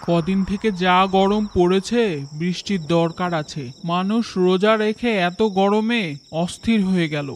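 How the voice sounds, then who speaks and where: A woman's voice: medium pitch, measured pace, a little hiss or hum, lightly acted. A woman voicing a poor, worried village father in a cartoon, speaking with a Bangladeshi Bengali accent in a weary, careworn way. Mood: concerned.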